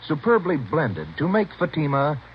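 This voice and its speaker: Lively American vintage radio pitchman. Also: moderate pace. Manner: polished, emphatic sales delivery. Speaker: commercial announcer. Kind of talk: 1950s radio cigarette ad read. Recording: muffled, hissy old 1950 radio recording.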